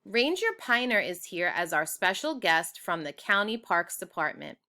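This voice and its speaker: A woman's voice: on the low side, very animated, moderate pace, bright, forceful tone, exaggerated voice. A woman voicing a proud, formal female school official making a ceremonious, emphatic award speech in a storybook.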